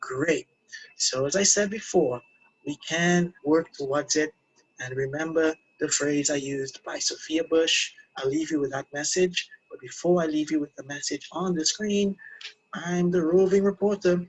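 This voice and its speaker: Male English teacher, slightly husky voice pitched a little high, encouraging and chatty, repeats phrases for learners. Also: a little slow, rough recording. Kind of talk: casual English lesson to camera.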